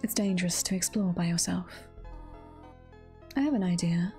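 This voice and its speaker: A woman voicing a gentle, protective motherly monster in a video game, half-whispered, with careful formal phrasing. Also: fairly low pitch, normal speed, faint music behind, lightly acted. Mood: calm, reassuring.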